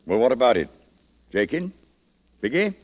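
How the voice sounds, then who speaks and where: A man's voice: mid-range voice, very animated, moderate pace, darkish tone. A male actor playing a stern but fond British army colonel, clipped and authoritative, in old radio drama. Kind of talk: 1940s radio acting. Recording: muffled old radio broadcast.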